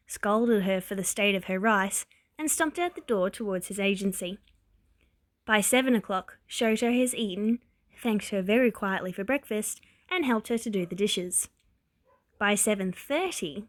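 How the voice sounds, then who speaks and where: A woman narrating a written story with expressive, wry, exasperated emphasis. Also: mid-range voice, dry recording, studio quality. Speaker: podfic narrator reading fan fiction.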